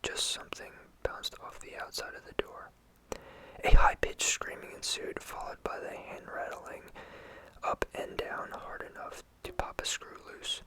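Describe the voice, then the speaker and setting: A storyteller whispering a first-person horror story in a hushed, intimate and steady way. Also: unhurried, poor audio.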